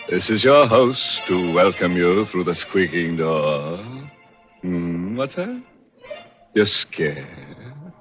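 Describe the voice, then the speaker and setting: Creepy jolly old-time radio horror host, really deep and drawn out, fake-caring, savors the grim stuff. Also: male voice. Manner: mock-solicitous, macabre, savoring words. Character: ghoulish, darkly jovial male horror show host. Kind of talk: 1940s radio horror hosting. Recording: muffled vintage 1940s radio broadcast, organ music.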